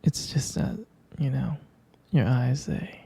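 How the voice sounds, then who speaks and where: A tender, doting boyfriend in an ASMR sleep roleplay, acted by a man. His voice is very dark and relaxed, full of crackling fry and husky breath. He murmurs hesitantly and intimately, as if soothing a beloved partner to sleep. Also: exaggerated voice. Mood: adoring. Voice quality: soft, breathy whisper with low gravelly fry. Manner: slow, tender, hesitant with pet names.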